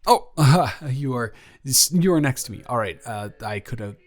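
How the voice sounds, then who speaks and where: A man's voice: medium pitch, lively intonation, moderate pace, close to an everyday voice. A young man playing a nervous, lovestruck best friend in an improvised romantic roleplay audio, rambling and stammering as he speaks to the listener.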